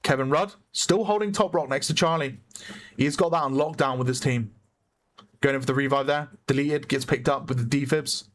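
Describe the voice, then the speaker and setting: A man with a hoarse voice giving chatty play-by-play commentary on a competitive online shooter match, often correcting himself. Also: medium pitch, moderate pace. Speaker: esports caster. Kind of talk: live game commentary.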